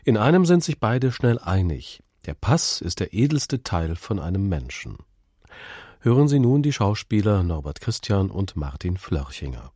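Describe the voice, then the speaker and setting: A man's voice: low-pitched, sing-song, normal speed, dark tone, husky, dry recording, studio quality. A man reading a scripted programme introduction as a radio announcer.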